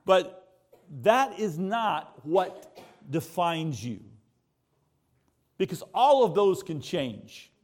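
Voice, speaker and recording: A man's voice: high voice, sing-song, extremely slow, pauses often. An American man giving a sermon in a conversational way, asking rhetorical questions and repeating points for emphasis. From United States. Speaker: preacher.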